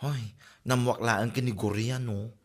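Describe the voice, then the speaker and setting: A man's voice: mid-range voice, steady tone, measured pace, natural voice. Gossiping best friend, acted by a man, Ilocano accent, mocking humour, chatty, comedy drama delivery. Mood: gossipy. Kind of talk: comedy radio drama acting.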